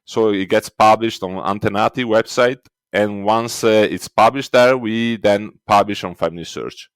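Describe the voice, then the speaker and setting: Italian-accented man, low and dry. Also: moderate pace, smooth, dry recording. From Italy. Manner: explains step by step with frequent fillers. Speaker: guest, records indexing team lead. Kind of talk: conversational interview.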